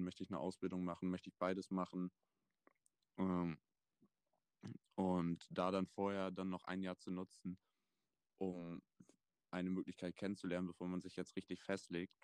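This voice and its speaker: A man of twenty hosting a casual chat, relaxed and thoughtful with plenty of fillers. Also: low-pitched, clean tone, no room sound, clean studio sound. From Germany. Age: 20s. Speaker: podcast host.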